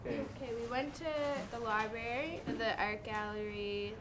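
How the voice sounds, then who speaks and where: A woman's voice: a little high, unhurried, background noise. A young woman with a Canadian accent, chatting casually on location as a show host. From Prince Edward Island, Canada. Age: young adult. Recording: loud music under the voice. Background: music.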